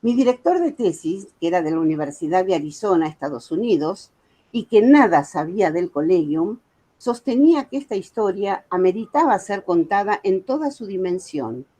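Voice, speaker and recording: Woman, seventies, Buenos Aires accent, mid-range pitch, guest lecturer, prepared talk, earnest storyteller. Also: dark tone. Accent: Argentine, Buenos Aires. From Argentina. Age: 70s. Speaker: guest speaker, author and music educator. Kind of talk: prepared talk with informal asides.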